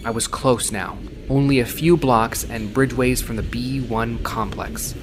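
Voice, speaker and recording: A man reading first-person fiction dramatically, with animated, expressive delivery. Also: mid-range voice, unhurried. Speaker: short story reader. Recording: loud synthwave music bed. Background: synthwave music.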